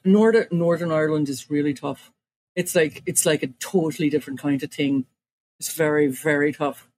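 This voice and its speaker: A woman's voice: sits low, fairly even intonation, normal speed, a little mellow. A woman co-hosting a podcast, chatting casually and rambling with lots of "like.". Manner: rambling, heavy use of "like". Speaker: podcast co-host. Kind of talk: casual chat.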